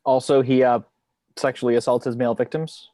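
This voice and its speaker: A man's voice: medium pitch, fairly even intonation, brisk, mellow, no echo, natural voice. A man playing a weary, sardonic FBI investigator in a screenplay table read. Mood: dry, provoking. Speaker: amateur actor in an online table read. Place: remote video call.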